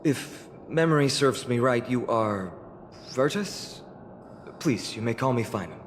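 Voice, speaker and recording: A courteous young warrior hero of a fantasy game, acted by a man, talks in an easygoing, friendly way. The pitch moves in a lively way, with long reverb and a faint noise behind. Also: a little slow, natural voice. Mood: calm, courteous. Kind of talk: video game voice acting.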